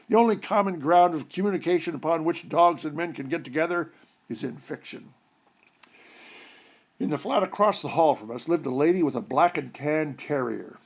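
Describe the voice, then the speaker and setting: Higher-voiced man reading a story. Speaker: story reader. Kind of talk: expressive reading of a humorous short story.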